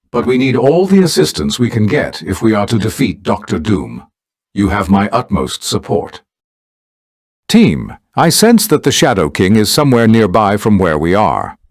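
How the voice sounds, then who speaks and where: A man's voice: on the low side, very animated. A man acting a dignified, formal older mentor who leads a team of heroes in a video game. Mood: solemn warning. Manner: formal, measured, grave.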